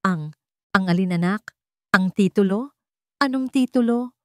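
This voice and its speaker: A woman voicing an older mother-in-law in a narrated story, speaking gently and softly while feigning innocence. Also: mid-range voice, a little slow, smooth, no echo, clean studio sound, natural voice. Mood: playing confused. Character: older mother-in-law, sly and falsely sweet. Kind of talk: storybook narration with acted dialogue.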